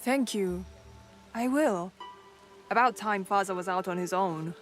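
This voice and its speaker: A self-assured noblewoman warrior, played by a woman in a video game, mid-pitched and proud. She speaks like a wry mentor, with clear music behind her. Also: unhurried, natural voice. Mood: grateful, wry. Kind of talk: dramatic video game dialogue.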